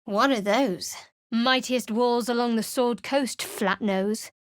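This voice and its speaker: A woman's voice: on the high side, takes it slowly, no echo. An actress voicing a guarded, sardonic young female cleric in video game voice lines, with a cool, dry manner. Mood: wry.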